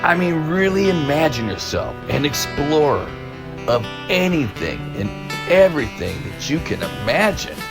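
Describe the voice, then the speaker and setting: Male presenter, encouraging, animated pitch, unhurried scripted intro aimed at young readers, strong music bed. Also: creaky. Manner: encouraging, addresses the listener directly. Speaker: reading lesson presenter. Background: prominent guitar music.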